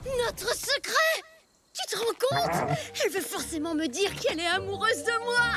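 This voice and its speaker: Cartoon cave boy: very high child voice, eager and easily flustered, over loud music. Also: lively intonation, normal speed. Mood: lovestruck, excited. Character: eager, lovestruck young prehistoric cave boy. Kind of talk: French cartoon dialogue.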